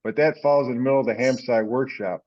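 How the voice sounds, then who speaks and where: A man's voice: medium pitch, fairly even intonation, quick pace, darkish tone. A man with a mid-range voice chairs a conference call in an informal, rambling style. The audio is dull and lo-fi, like an online meeting. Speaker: meeting host. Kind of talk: casual conference-call talk. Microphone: teleconference call.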